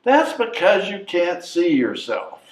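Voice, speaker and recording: Bossy, cocky newborn baby played by a man, lively swings in pitch, distant echoey stage recording. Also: takes it slowly, heavily acted. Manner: bossy, know-it-all. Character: cocky, chatty newborn baby, sure of being a girl. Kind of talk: readers theatre comedy performance.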